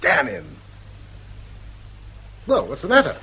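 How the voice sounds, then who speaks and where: An actor playing a priggish, moralising English husband in a stiff, righteous radio drama manner. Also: male voice, high voice, huge pitch swings, takes it slowly, creaky, pushed and bright, slightly rough, exaggerated voice. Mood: grudgingly contrite. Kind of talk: British radio drama acting.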